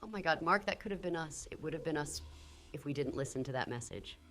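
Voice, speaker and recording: Lady playing fed up skeptical wife in a radio play, low bright voice. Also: animated, fast talker. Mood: shaken. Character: exasperated, skeptical wife of an obsessed husband. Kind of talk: old-time radio style horror audio drama acting.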